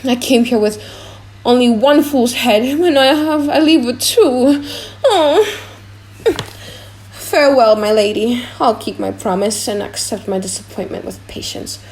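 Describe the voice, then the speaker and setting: A woman's voice: fairly high pitch, unhurried, a little mellow, a little hiss or hum. A woman voicing a vain, pompous prince in a dramatic audiobook, musing aloud theatrically. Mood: rueful. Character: vain, pompous foreign prince weighing his choice aloud.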